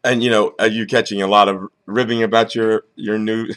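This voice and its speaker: A man's voice: lowish voice, level tone, fairly quick, a bit bright, clear, resonant tone, dry recording, poor audio. A man with an American accent hosts an informal interview, asking rambling, casual questions and repeating words as he goes. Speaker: talk show host, interviewer.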